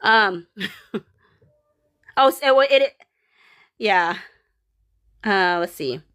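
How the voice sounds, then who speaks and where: A woman's voice: mid-range voice, expressive, drawn-out words, sharp tone, clean tone. A woman with a Filipino accent, perhaps in her thirties, hosting a casual livestream, reading viewer comments and rambling with many fillers and restarts. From Philippines. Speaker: livestream host reading chat.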